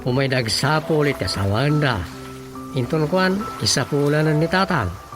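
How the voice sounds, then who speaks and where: A man with an Ilocano Filipino accent playing a casual, questioning rural husband in a comedic radio drama, conversational and low-key. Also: animated, normal speed, music in the background, lightly acted.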